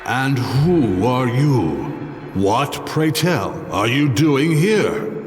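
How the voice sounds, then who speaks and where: A man's voice: mid-range voice, lively intonation, drawn-out words. An actor playing a mad, haughty outcast druid villain in a fantasy audio drama, pompous and mocking in his theatrical delivery. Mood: mocking menace. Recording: long reverb, music underneath.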